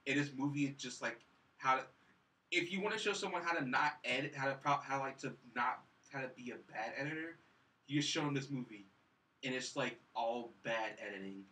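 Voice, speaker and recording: A man's voice: mid-range voice, fairly quick, sharp tone, far from the mic, low-quality recording. A man chatting casually about films as a podcast co-host, blunt and emphatic, repeating himself and leaning heavily on "like". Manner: blunt, emphatic, repetitive, heavy use of "like". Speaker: film review podcast co-host. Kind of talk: casual film review chat. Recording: off-mic with faint background noise.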